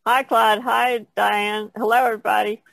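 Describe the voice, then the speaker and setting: A woman's voice: a little high, level tone, moderate pace, gravelly, fairly bright tone, dry recording. A woman speaking casually as a panelist on a podcast. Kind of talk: casual podcast introduction and chat.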